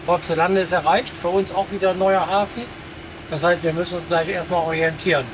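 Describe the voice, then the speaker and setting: Man narrating from a boat, slightly high voice. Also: level tone, fairly quick, dull audio, background noise. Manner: matter-of-fact, commenting on the route. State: has a cold. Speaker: boat vlogger. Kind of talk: casual narration while boating. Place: outdoors on a motorboat. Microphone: headset.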